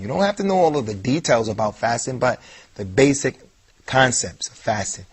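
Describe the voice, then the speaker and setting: Husky-voiced man giving an emphatic lecture. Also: medium pitch. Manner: emphatic, repeats key points for stress. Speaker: Islamic lecturer. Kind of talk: televised religious lecture.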